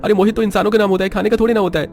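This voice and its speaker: High-pitched naive rustic waiter played by a man. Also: flat delivery, very fast, darkish tone, faint music behind. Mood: bewildered. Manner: plaintive, bewildered protesting. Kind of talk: cartoon moral-story acting.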